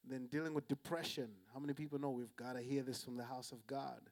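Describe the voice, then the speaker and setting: A man's voice: mid-range voice, fast talker. A man who is a pastor, calling out to the congregation and interviewing a guest in church. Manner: energetic, call-and-response preaching.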